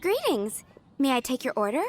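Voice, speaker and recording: A very high-voiced friendly girl. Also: very animated, moderate pace, faint music behind. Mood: polite. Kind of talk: English game dub voice acting.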